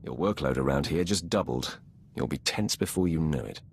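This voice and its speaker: A cold, smug Sith master for a video game. His voice is extremely low and gravelly, with imperious, lively swings in intonation. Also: a little hiss or hum, full character voice. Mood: dry amusement. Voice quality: very deep, gravelly. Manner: measured, imperious, dryly suggestive. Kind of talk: scripted video game acting.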